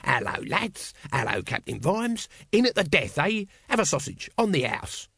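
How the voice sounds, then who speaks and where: A man's voice: a little high, big pitch swings, moderate pace, gravelly, pushed and bright, slightly rough, dry recording, studio quality, voice pushed up, full character voice. A man voicing a cheerful, pushy street vendor in a storybook, with wheedling sales patter. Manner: whining, hawking sales patter.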